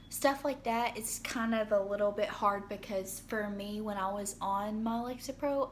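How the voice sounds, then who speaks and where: A young woman with a hoarse voice from being sick, talking casually into her phone in a rambling personal update with many ums and restarts. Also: medium pitch, a little hiss or hum. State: hoarse from illness. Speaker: vlogger. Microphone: phone propped up.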